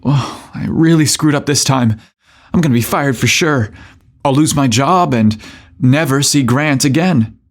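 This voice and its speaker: A man voicing an anxious, smitten mailroom guy in an audio romance story, flustered and hurried. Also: medium pitch, close to an everyday voice. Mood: panicked.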